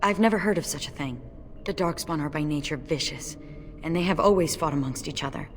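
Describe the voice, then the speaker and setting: A woman's voice: deep voice, a little fast, slight background noise, close to an everyday voice. A woman playing a stern, fatalistic dwarf warrior in a video game, speaking in an earnest, wry way. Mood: grave, thoughtful.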